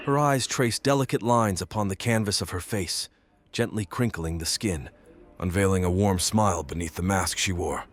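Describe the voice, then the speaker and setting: A man playing a brooding stalker who narrates an audio drama in deliberate, detached, literary phrases. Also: on the low side, close to an everyday voice. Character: brooding male stalker narrating his nightly watch. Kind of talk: scripted first-person narration, audio drama.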